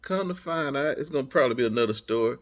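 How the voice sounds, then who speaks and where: An American man telling a personal story casually, in animated, slangy speech, acting out bits of dialogue as he goes. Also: mid-range voice, fast talker.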